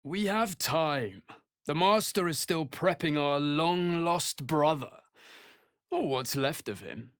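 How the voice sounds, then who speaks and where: An actor playing a quietly predatory male vampire spawn in a video game, with measured, understated delivery. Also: fairly high pitch, lively intonation, heavily acted. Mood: cool, sly. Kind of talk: naturalistic video game acting.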